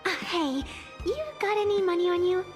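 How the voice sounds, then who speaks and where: A woman's voice: very high voice, normal speed. An actress voicing a cheeky, imperious female trickster in a video game, breezy, showy and teasing. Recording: long reverb, music underneath.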